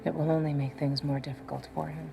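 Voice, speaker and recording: Low-voiced calm wise warrior woman. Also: quick pace, gravelly. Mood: calm, advising. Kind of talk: screen drama acting. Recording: film soundtrack with loud music behind.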